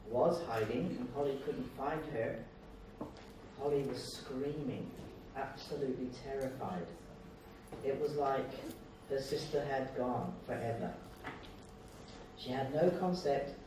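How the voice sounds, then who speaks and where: Older male speaker, Australian accent, conversational lecture in short halting phrases, distant mic in a noisy, reverberant hall. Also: mid-range voice. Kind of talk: informal public talk.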